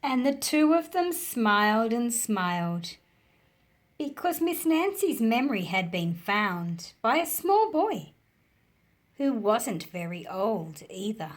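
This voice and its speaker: Slow, animated woman reading to children. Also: mid-range voice. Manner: slow, deliberate, animated delivery for young listeners. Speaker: storyteller reading aloud to children. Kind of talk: expressive children's storybook read-aloud.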